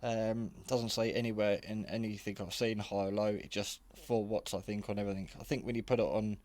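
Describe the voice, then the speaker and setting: A man's voice: on the low side, flat delivery, a little fast, a little mellow. A man giving a casual, unscripted hobby radio review in a rambling, matter-of-fact, modest way.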